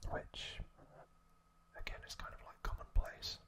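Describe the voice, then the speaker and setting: A man whispering softly as an ASMR host, recapping episodes in a halting way with many pauses. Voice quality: soft unvoiced whisper.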